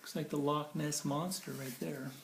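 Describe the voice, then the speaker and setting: A man's voice: fairly high pitch, flat delivery, moderate pace, gravelly. A man reading a children's picture book aloud in a theatrical, playful way, with casual asides about the pictures. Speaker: storybook reader. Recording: roomy echo, faint background noise.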